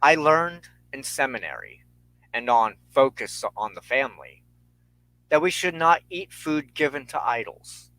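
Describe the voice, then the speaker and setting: A man hosting a spiritual talk in a solemn, sermon-like style, speaking in measured, formal and ceremonious phrases. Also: mid-range voice, big pitch swings, sharp tone, no room sound, rough recording. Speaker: host of a weekly spiritual talk show.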